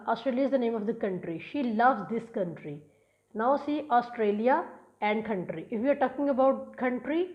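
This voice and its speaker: A woman with a Pakistani accent teaching English grammar in a recorded lesson, explaining step by step and restating points with small restarts. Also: fairly high pitch. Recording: dull lo-fi sound.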